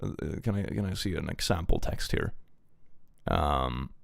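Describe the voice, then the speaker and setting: A man's voice: low voice, a little slow, gravelly, no echo. A man reading out and reacting to viewer chat in a casual stream, chuckling often and trailing off with fillers. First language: German.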